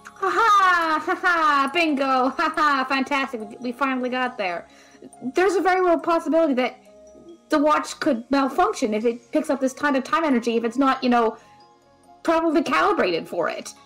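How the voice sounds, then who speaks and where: An exasperated, brainy young-spirited friend played by a woman, with a fairly high voice. She is wry, teasing and fretful in a casual audio drama style, over faint music. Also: lively intonation, normal speed, fairly bright tone, low-quality recording. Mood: amused, teasing, then patient, explaining. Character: Razara, exasperated, caring, brainy female friend. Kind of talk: conversational audio drama acting.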